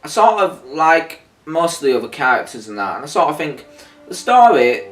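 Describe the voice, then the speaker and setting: A man's voice: a little high, big pitch swings, moderate pace, fairly bright tone. Male review co-host, conversational and hesitant, thinks aloud; roomy, off-mic sound. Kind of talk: casual chat reviewing an audio drama. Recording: echoey room, distant mic, faint music behind. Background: faint music.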